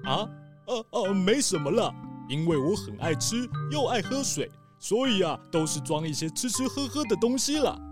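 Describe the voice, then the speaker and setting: A big good-natured bear fellow, slow-witted and proud of his strength acted by a woman, in a children's radio mystery. The pitch swings widely, with faint music underneath. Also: very animated, lightly acted. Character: big strong simple-minded bear, gluttonous and good-natured male. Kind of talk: children's mystery audio drama.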